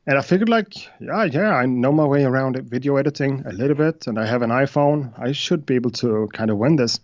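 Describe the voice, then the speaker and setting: A man's voice: medium pitch, quick pace, no echo. Swedish man, hesitant, dry lo-fi call. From Sweden. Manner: hesitant, many uhs and restarts. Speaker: guest beatmaker. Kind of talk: casual interview chat.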